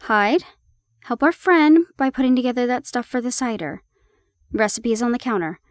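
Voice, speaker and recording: A woman playing a harried but affectionate young girlfriend in an audio roleplay, expressive, teasing and coaxing. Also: fairly high pitch, normal speed, no echo, clean studio sound, close to an everyday voice. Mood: coaxing, busy.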